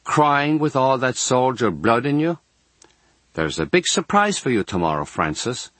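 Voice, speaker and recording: A man voicing a brisk Irish hospital nun in a storybook reading, admonishing and emphatic. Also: medium pitch, very animated, fairly bright tone, dry recording. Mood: briskly coaxing.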